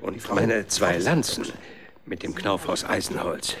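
A man's voice: low voice, fairly even intonation, a little slow, crowd noise. An actor playing a stubborn, boastful warrior nobleman in a children's radio drama, emphatic and blustering. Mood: stubborn, proud.

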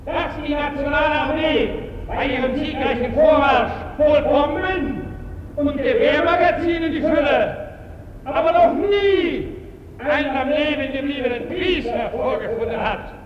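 High-pitched, echoing radio general. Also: male voice, a little slow. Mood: scornful ranting. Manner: declamatory, scornful. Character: fascist radio general ranting war propaganda. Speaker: radio orator in a play. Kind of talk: propaganda speech over 1930s radio. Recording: echoing, dull, noisy radio broadcast sound.

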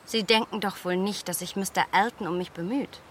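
An actress playing a self-assured, clever young lady with poised, quick-witted speech. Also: medium pitch, steady tone, slight background noise, close to an everyday voice. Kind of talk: radio play acting.

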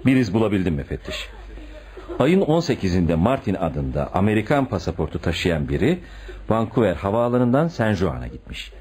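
Police detective, low-pitched, lively delivery, confident, eager subordinate, radio drama. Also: male voice, fairly quick. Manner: confident, reporting.

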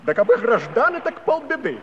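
An actor playing a garrulous old Cossack villager, telling a comic tale with pompous, folksy turns of phrase. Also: male voice, very high voice, sing-song, brisk, bright, forceful tone, full character voice. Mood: aggrieved, chatty. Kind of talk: comic storytelling in a musical radio play. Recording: dull, noisy old 1970s recording.

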